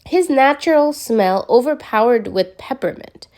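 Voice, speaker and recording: A woman reads fan fiction aloud in a fairly high voice. Her pace is very slow and measured, with plenty of melodic movement. She tells it expressively, now and then stumbling over a word. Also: expressive, darkish tone. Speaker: podfic reader. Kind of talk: fan fiction narration with character dialogue read aloud.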